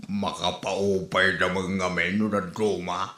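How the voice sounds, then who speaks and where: A man's voice: low voice, little pitch movement, slow, sharp tone, exaggerated voice. A man playing a stubborn, touchy old father in a comedy drama, protesting and whining. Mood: dejected. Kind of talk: Ilocano comedy radio-style drama. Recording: roomy echo on the voice.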